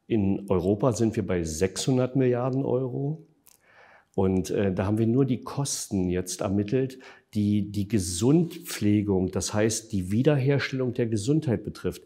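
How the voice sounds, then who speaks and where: A man with a low, dark and husky voice answers interview questions. He explains facts and figures in a steady, measured way, pausing often on filler sounds. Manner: measured and factual, frequent hesitation fillers. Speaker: interview guest, developer of an online tool.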